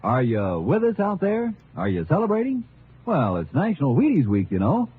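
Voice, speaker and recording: A man working as a vintage radio commercial announcer, giving a folksy, upbeat sales pitch. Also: huge pitch swings, normal speed. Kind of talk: 1950s radio advertising pitch. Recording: tinny old radio, faint noise.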